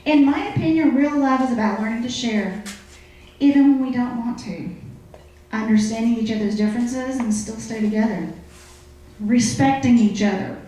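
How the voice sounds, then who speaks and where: High voiced lady doing folksy coy comedy monologue live on stage, super echoey. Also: normal speed, warm tone, a little hiss or hum. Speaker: comic radio-show commentator.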